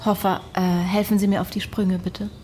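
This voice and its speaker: An actress playing an incredulous female therapist questioning a patient in a radio drama, her voice soft and husky. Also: mid-range voice, level tone, moderate pace, a little hiss or hum, lightly acted. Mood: sceptical, curious.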